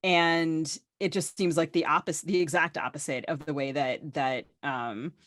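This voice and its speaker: Low-pitched woman host, casual and wry. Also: steady tone, a little slow, a bit bright, no room sound, studio quality. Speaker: podcast host. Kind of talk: casual conversational storytelling and questioning.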